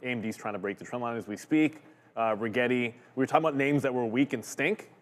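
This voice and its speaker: A man from Canada co-hosts a live trading show in easy casual chat, adding dry short interjections and quick market comments. His voice sits at an ordinary middle pitch. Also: in a large hall. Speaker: co-host of a trading stream.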